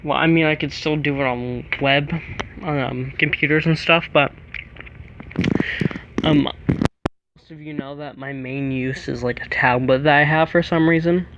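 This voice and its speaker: A teenage boy rambling through an early-morning vlog in a monotone, halting voice with many fillers, into a microphone plugged into a tablet. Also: fairly high pitch, dull audio, a crowd in the background. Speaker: vlogger. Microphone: plug-in microphone on a tablet.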